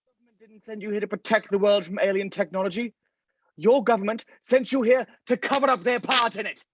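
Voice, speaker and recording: A man's voice: high voice, fairly even intonation, a little fast, no room sound, dull audio. A man playing a cynical, condescending scientist with a foul mouth in a script table read. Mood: scornful. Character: cynical, derisive scientist called the Thinker, contemptuous and callous.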